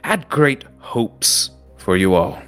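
A man's voice: lowish voice, very animated, slow, pitched above the performer's own voice, exaggerated voice. A man voicing an imperious male sea captain who scolds students with contempt, over faint music. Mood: scornful. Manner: scolding, shouted outbursts.

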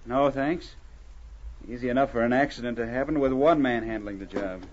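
An actor playing a blunt, hot-tempered, plucky mine owner in a 1940s radio drama. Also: male voice, mid-range voice, brisk, a little mellow, natural voice. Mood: matter-of-fact. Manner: blunt, plain-spoken. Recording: dull, faintly noisy old radio recording.

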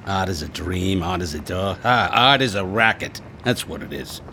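An actor playing a gruff, skeptical, wisecracking police sergeant, blunt and slangy, in a detective radio drama. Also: male voice, sits low, expressive, normal speed, bright, forceful tone, slight background noise, full character voice. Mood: incredulous. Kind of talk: old-time detective radio drama acting.

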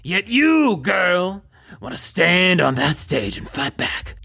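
A man playing an eccentric, grandiose music venue owner in an audio drama, theatrical, swinging from hushed awe to wailing, with a raspy, breathy voice. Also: high-pitched, very animated, slow pace, heavily acted. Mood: anguished, grandiose. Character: melodramatic, eccentric music venue owner mourning his club.

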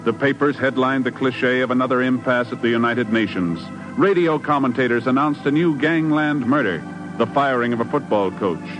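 Male announcer, dramatic 1950s radio narration, portentous, mid-pitched, prominent music behind. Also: moderate pace, poor audio. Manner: portentous, emphatic.

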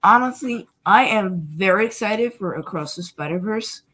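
A woman's voice: medium pitch, lively intonation, normal speed, fairly bright tone, a little hiss or hum. A woman co-hosting a casual podcast, talking in a playful, teasing way. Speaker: podcast co-host.

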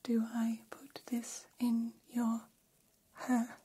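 A woman voicing a gentle, curious female alien in an ASMR roleplay, whispering softly in formal, halting, literal phrases. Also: a little high, completely flat delivery, full character voice. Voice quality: soft, breathy whisper. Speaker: ASMR performer.

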